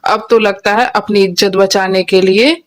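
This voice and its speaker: A woman's voice: mid-range voice, steady tone, measured pace, close to an everyday voice. A woman voicing a scheming, two-faced queen mother in a cartoon, sweet-talking and theatrical. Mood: theatrical wailing. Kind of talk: cartoon voice acting.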